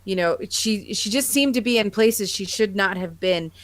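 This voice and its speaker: A woman's voice: mid-range voice, moderate pace. An American woman co-hosting a podcast, chatting casually and effusively. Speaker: podcast co-host. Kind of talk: casual chat about sci-fi fandom.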